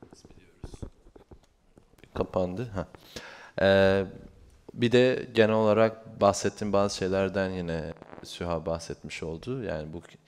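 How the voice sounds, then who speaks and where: Casting: deep-voiced male interpreter, halting delivery, lots of drawn-out fillers. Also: slight background noise. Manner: hesitant, many drawn-out fillers. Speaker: interpreter and coordinator. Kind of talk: consecutive interpreting at a panel.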